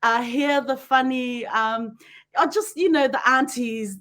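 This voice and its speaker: A woman with a New Zealand accent hosting a podcast, chatty and quick to laugh, often wandering off on tangents. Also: high voice, a bit bright. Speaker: podcast host. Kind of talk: casual chat.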